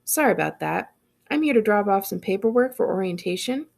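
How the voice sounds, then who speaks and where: A woman voicing an easygoing teenage boy in an audiobook, with a loud, casual manner. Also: mid-range voice, lively intonation, a little fast, gravelly. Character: easygoing, out-of-breath teenage boy arriving late. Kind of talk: audiobook character voice.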